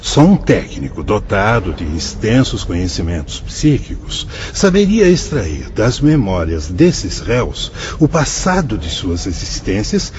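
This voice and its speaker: A solemn, wise spirit guide. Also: male voice, on the low side, huge pitch swings, measured pace, hardly any pauses, a little mellow, dull audio, a little hiss or hum. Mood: explaining. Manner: solemn, dramatic, sweeping phrasing. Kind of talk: radio drama acting.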